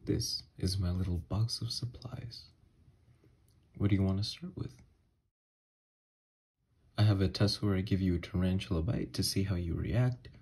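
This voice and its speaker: A man voicing an obsessed, unhinged kidnapper scientist in an ASMR horror roleplay, soft and breathy, coaxing gently with quiet menace. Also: sits low, normal speed, long pauses. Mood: eerily calm. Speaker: ASMR audio roleplay voice actor. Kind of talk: ASMR horror roleplay monologue, partly whispered.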